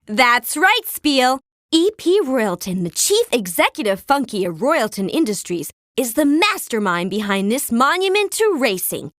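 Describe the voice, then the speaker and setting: A perky cartoon game reporter, high in pitch with big, animated swings. She delivers her report eagerly, in a promotional broadcast manner, with a dry studio sound. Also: unhurried, no room sound. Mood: enthusiastic. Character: perky female cartoon chief news correspondent, eager and promotional. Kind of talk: mock on-the-scene news report.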